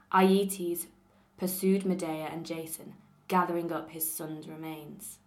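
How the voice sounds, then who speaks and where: A young woman with a Lancashire accent, reading a passage in a clear, measured way and then chatting casually about herself. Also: fairly low pitch. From northern England. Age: young adult. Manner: clear, measured reading; relaxed and chatty when talking freely. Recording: roomy echo.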